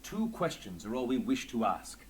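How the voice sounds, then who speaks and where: Man acting a guarded, commanding inspector, expressive pitch. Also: slight background noise, natural voice. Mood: stern. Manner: formal, commanding, measured. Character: authoritative male inspector, guarded, weary, quick to command. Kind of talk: scripted video game acting.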